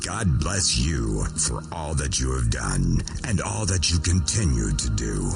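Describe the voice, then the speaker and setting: A man reading a solemn scripted radio tribute in a grave, deliberate manner. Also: very deep voice, big pitch swings, gravelly, music in the background.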